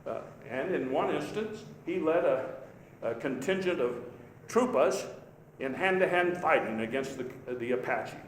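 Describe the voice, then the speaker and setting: An actor playing a vigorous, patriotic elder statesman giving a folksy, emphatic, halting speech in a live historical reenactment. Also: fairly high pitch, lively intonation. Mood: proud, emphatic. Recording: echoey live hall, faint background noise.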